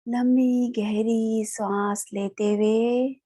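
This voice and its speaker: A woman with an Indian accent leads a guided meditation in a calm, soothing manner, leaving long pauses. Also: a little high. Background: background music.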